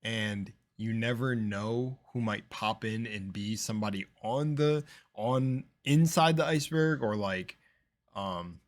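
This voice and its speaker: A young man with a slight lisp talks through a reaction video in a rambling, filler-packed way. His voice sits fairly low, and it swings from loud bursts down to half-mumbled asides. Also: slow. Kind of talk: casual rambling reaction commentary.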